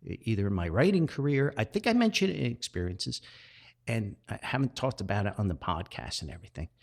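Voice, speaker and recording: A man's voice: fairly low pitch, sing-song, brisk, vocal fry. An older man hosting a podcast, reflecting in a rambling, earnest monologue, often repeating words and backtracking as he goes. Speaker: podcast host and author.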